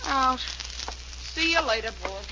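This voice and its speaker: A woman's voice: high voice, expressive, slow, full character voice. A muffled, hissy vintage radio recording of a brassy young American showgirl. She is slangy and scheming, with airs and a voice that swoops up and down. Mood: breezy, cheeky. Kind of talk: 1940s radio crime drama acting. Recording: muffled 1940s radio recording, noisy hiss.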